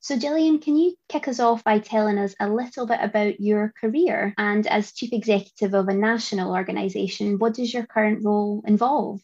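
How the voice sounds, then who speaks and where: A woman hosting a podcast, reading introductions and asking questions in a bright, upbeat presenter manner. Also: medium pitch, fairly even intonation, brisk, few pauses. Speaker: podcast host. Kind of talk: scripted podcast introduction and interviewing. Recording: dull remote home recording.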